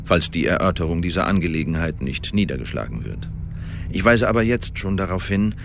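An actor playing a formal, self-justifying witness who gives a precise, emphatic statement about a killing in a radio drama. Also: male voice, sits low, animated, fairly quick, gravelly, over music. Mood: grave, shaken. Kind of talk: scripted radio drama monologue, written statement read out.

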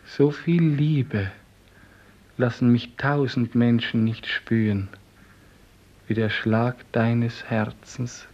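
Devoted dreamer in love, solemn poetic delivery, slow pace, radio drama style, dull vintage recording. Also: male voice, medium pitch, a little mellow. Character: devoted dreaming office clerk, tender and rapturous lover. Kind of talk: 1950s German radio play acting.

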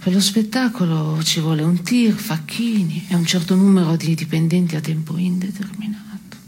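Dry absurdist stage woman: cynical, laconic deadpan, lowish pitch, faint noise on the broadcast. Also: moderate pace, vocal fry. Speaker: stage actor. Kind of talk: absurdist two-hander theatre dialogue. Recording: noisy radio broadcast of theatre piece.

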